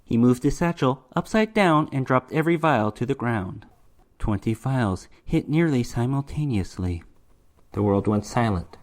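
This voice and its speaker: Male narrator for horror fantasy fiction, mid pitch, theatrical and emphatic storytelling. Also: animated, moderate pace. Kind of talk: dramatic reading of fantasy horror prose.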